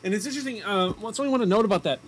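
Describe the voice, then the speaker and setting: A man's voice: high voice, very fast. A young man co-hosting a radio show, chatting casually about movies with opinionated, jokey banter. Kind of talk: casual movie review chat. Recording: amateur recording with background noise.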